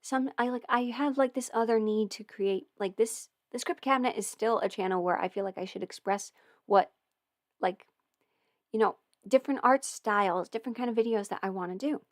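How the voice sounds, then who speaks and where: A woman's voice: on the high side, brisk, no room sound. Young woman rambling thru a livestream q&a, lots of um and like, dead dry close mic. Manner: chatty and meandering, many fillers and restarts. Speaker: audio performer and channel host. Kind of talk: casual livestream Q&A.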